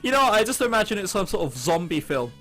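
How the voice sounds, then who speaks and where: A high-voiced man with a bright, forceful tone narrates a visual novel let's play. His delivery swings widely and is sarcastic and sweary, as he reads the dialogue and comments on it. Game music plays behind him. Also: big pitch swings, fast. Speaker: gaming YouTuber. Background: game background music.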